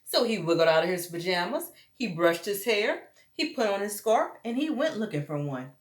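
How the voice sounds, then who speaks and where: A woman's voice: medium pitch, big pitch swings, moderate pace. A woman reading a story aloud to young children, expressively and with dramatic emphasis, addressing them as friends. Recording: roomy echo.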